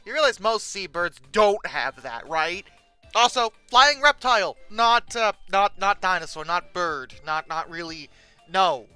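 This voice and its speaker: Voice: medium pitch, lively intonation, measured pace, sharp tone, clear, resonant tone, no echo, faint music behind. A commentator giving humorous off-the-cuff commentary, stammering and hesitating, with bursts of theatrical exasperation. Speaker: YouTube host. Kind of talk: humorous unscripted commentary on pictures.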